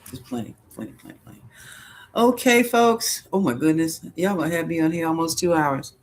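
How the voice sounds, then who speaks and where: A man's voice: fairly high pitch, expressive, fairly quick. A middle-aged man hosting a psychic livestream, answering viewer questions in a rambling, musing way and chuckling often.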